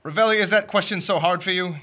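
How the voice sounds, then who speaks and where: A young man playing a greedy, fast-talking male shyster lawyer who makes grand courtroom speeches full of wisecracks, in a comic radio play. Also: high voice, fairly even intonation, pushed and bright. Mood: wisecracking. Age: young adult.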